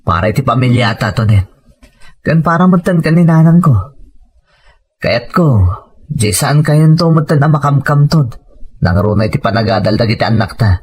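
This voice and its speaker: An actor with an Ilocano accent playing an earnest, worried husband and devoted son in an audio drama. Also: male voice, mid-range voice, lively intonation, moderate pace, pauses often, darkish tone, breathy edge. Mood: hopeful.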